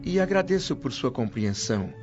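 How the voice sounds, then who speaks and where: An actor playing a humble, earnest doctor in a radio drama, speaking formally and deferentially with a Brazilian accent. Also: male voice, mid-range voice, big pitch swings, normal speed, darkish tone, natural voice. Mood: deferential, contrite. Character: humble, earnest male doctor, newly arrived spirit. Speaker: actor and first-person narrator in a radio drama. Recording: dull lo-fi radio drama audio. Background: music.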